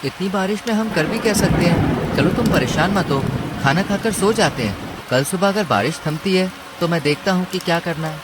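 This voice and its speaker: A man's voice: high voice, animated, normal speed. A man voicing a worried, polite male mouse in a cartoon story, earnest and pleading. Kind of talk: Hindi cartoon story dialogue. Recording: clear rain and ambience noise.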